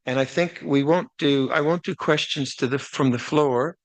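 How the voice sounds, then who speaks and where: An older man, a Buddhist monk, giving opening instructions at a meditation retreat in a reflective, plainspoken way with gently self-deprecating humor. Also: on the high side, moderate pace, no echo. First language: English. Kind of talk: dharma talk, opening instructions.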